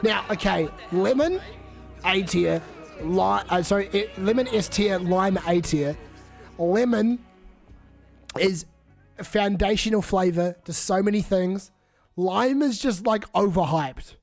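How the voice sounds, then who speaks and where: A man's voice: high voice, slow pace, vocal fry, a little mellow, soft background music. A young man with a New Zealand accent who is sick, rambling and swearing casually on a live stream while reading chat aloud.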